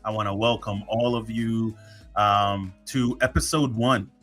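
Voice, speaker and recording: A man's voice: lowish voice, steady tone, measured pace, fairly bright tone, faint music behind. A man hosting his own podcast, chatting casually and joking, with many fillers and restarts. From United States. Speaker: podcast host. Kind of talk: casual solo podcast talk.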